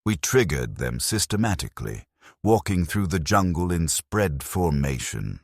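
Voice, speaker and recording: A man narrating a dramatic scripted first-person war story as a grim, war-weary soldier, in a grave, measured, deliberate delivery. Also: sits low, big pitch swings, no echo, clean studio sound. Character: grim war-weary penal legion soldier recounting battles. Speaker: narrator of a fan lore channel.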